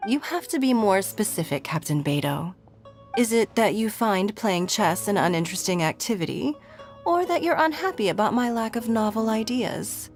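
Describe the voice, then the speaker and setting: A woman's voice: medium pitch, animated, normal speed, darkish tone, natural voice. An actress voicing a poised noblewoman in a video game, formal and slyly teasing. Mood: arch, teasing. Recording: music under the voice.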